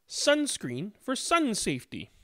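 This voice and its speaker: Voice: mid-range voice, wildly swooping pitch, measured pace, pushed and bright, pitched above the performer's own voice, exaggerated voice. A storybook reader voicing eager, helpful cartoon alphabet letters like childlike schoolmates, with exclaiming, singsong delivery.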